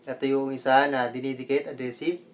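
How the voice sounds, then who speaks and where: A man's voice: mid-range voice, little pitch movement, brisk. A man with a Filipino accent, a vlogger talking casually through a product unboxing in a conversational way, mixing Tagalog with English.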